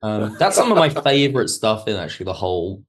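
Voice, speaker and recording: A man's voice: fairly low pitch, big pitch swings, a little fast. A man talking casually as an interview guest, thinking aloud in broken phrases as he explains his creative work. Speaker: podcast guest, audio drama writer.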